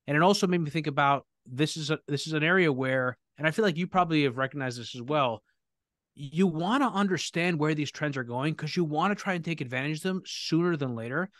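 A man's voice: fairly high pitch, brisk, no echo, clean studio sound. A man hosting a live podcast Q&A, talking energetically and often restarting his sentences. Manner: energetic, self-correcting with restarts. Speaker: podcast host. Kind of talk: live Q&A conversation.

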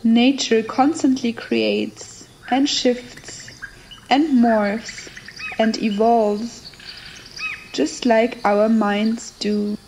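A woman with a fairly high voice leads a guided meditation at an extremely slow pace. She delivers scripted affirmations in short, measured phrases and leaves long pauses between them. Faint nature ambience runs behind her voice. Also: fairly even intonation, a little mellow, slight background noise, rough recording. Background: nature and ambient sounds.

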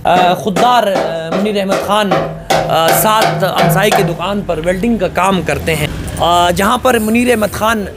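A man's voice: high-pitched, unhurried, loud music behind the voice. A young man interviewing an artist in a workshop, asking short probing questions and reporting briefly. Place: workshop, indoors. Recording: noisy background.

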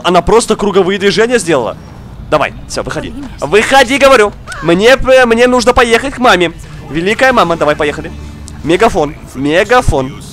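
Male gaming streamer, young adult, high swooping voice, slightly unwell, excitable and chatty, repeats himself. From outside Russia. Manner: animated, exclaiming, repeats words, talks to viewer. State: slightly ill, coughing now and then. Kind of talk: excitable casual gameplay commentary. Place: indoor room, seated at racing wheel.

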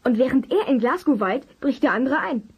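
A woman's voice: sits high, expressive, fairly quick, breathy edge, muffled recording, exaggerated voice. A woman playing a cocky, eager boy detective of about twelve in a German children's radio play. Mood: eager, reasoning. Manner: cheeky, eager.

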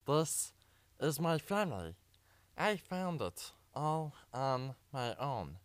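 A man's voice: mid-range voice, sing-song, takes it slowly, smooth, no echo. A man voicing a small, tender, childlike alien creature from a cartoon in an impression. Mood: tender, wistful.

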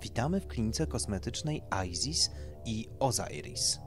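A man's voice: fairly low pitch, big pitch swings, a little slow, over music. A man voicing a polite female clinic receptionist with rehearsed courtesy in an audio story. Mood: politely rehearsed. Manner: courteous, unconvinced customer-service politeness.

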